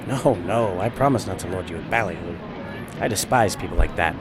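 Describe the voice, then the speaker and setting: A man's voice: a little fast, lightly acted. A man playing an effusive, courtly, grandiose businessman in a period radio drama, talking in a florid, chatty way. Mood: effusive, genteel. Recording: train rumble behind the voice.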